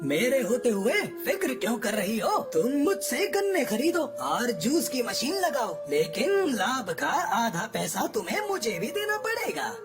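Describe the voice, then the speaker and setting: A greedy cartoon crow played by a woman with a high voice that swings widely in pitch. The delivery is bossy and theatrical, like a schemer in a children's fable. Music plays clearly underneath. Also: sing-song, unhurried, hardly any pauses. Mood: scheming, greedy. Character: greedy, scheming male crow trader, later regretful. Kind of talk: Hindi cartoon moral story dialogue. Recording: loud music bed under voice. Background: background music.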